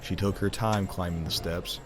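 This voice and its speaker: Deep-voiced man narrating a fantasy tale. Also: fairly even intonation, over music. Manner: theatrical, deliberate. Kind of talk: dramatic scripted fantasy storytelling.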